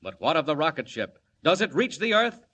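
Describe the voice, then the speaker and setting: A man announcing and narrating a 1940s radio serial in a dramatic, booming style. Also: very animated, a little fast, pushed and bright.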